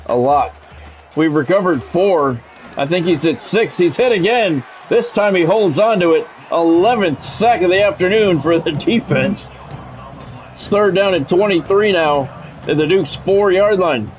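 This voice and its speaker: Higher-pitched man calling football play-by-play. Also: a little slow, faint music behind. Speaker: sports video game streamer and commentator. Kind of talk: play-by-play football commentary with casual asides. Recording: dull, lo-fi mic with faint noise.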